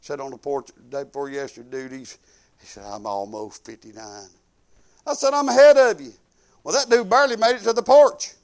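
A man in his fifties with a Southern US accent preaching informally, folksy and conversational, swinging emphatically between quiet asides and loud declarations. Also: a little high, brisk, clear, resonant tone. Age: 50s. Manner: folksy and conversational, peppered with praise exclamations. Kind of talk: informal sermon with personal anecdotes and prayer.

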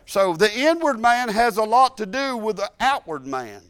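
A man in his late fifties preaching a sermon, animated, rising to loud exhortation and dropping to quiet asides. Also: high-pitched, normal speed, no room sound. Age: 50s.

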